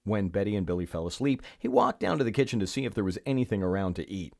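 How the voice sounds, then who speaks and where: A man's voice: fairly low pitch, sing-song, fast, creaky, no room sound, clean studio sound. A man reading a children's story aloud, expressively and with theatrical emphasis. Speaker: storybook reader.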